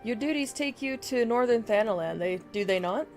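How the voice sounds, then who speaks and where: A woman's voice: on the high side, animated, normal speed. Woman streamer reading over game music. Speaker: livestreamer. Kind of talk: reading game dialogue aloud.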